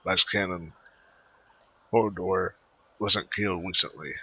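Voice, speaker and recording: A man's voice: low voice, steady tone, slow pace, bright, forceful tone, breathy edge, highs cut off. A man in a casual game playthrough, reading dialogue aloud and commenting as he plays. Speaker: gaming video creator. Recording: game music behind the voice. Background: video game music.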